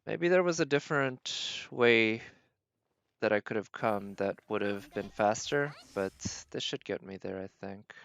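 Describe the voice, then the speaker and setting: A man's voice: fairly low pitch, a little slow, a bit bright. A man doing casual live gameplay commentary as a streamer, thinking aloud as he narrates his own decisions. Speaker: game streamer.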